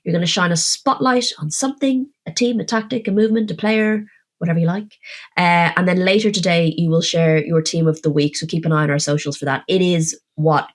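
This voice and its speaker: A woman's voice: lowish voice, a little fast. Congested woman hosting a football podcast. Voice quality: nasal. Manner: chatty, self-mocking, reads out scores. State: congested, croaky voice. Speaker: podcast co-host. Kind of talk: casual football podcast chat.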